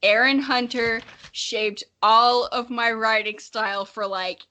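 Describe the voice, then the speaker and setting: Bright-voiced young woman chatting candidly. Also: on the high side, steady tone, takes it slowly. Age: young adult. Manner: candid, self-mocking, swears casually. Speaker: podcast co-host. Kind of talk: casual chat between friends.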